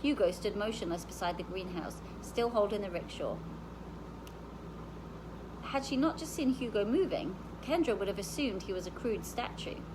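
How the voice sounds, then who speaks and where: A woman reading a children's novel aloud in an expressive storytelling style. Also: mid-range voice, normal speed, background noise.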